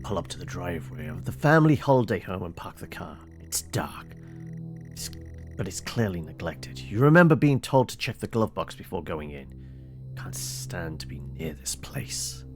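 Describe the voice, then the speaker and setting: Creaky-voiced man reading a text game aloud. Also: low-pitched, very animated, music in the background. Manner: halting, muttering typed commands, dramatic when reading aloud. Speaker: streamer playing a text adventure game. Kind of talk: let's play, reading game text aloud with casual asides.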